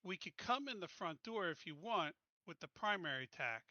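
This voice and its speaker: Male player, sharp and forceful higher voice, casual stop-and-start planning, dry. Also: animated, moderate pace, dry recording, highs cut off. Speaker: tabletop game player. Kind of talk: casual game planning talk.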